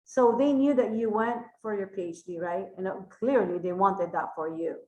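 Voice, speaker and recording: A woman's voice: medium pitch, normal speed, warm tone, reverberant, dull audio. Filipino-accented woman hosting a podcast. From Philippines. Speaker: podcast host. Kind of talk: casual podcast interview.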